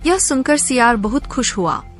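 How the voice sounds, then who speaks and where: A woman's voice: fairly high pitch, sing-song, moderate pace, soft background music. A woman narrator reading a scripted animal fable for children.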